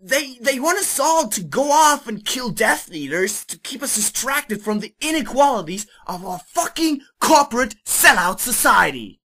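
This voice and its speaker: Female reader as fervent teen boy agitator, bright forceful voice, ranting emphatically in fan fiction drama. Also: on the high side, very animated, normal speed, few pauses, slightly rough, exaggerated voice. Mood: fervent, indignant. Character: zealous, foul-mouthed teenage boy preaching communist revolution.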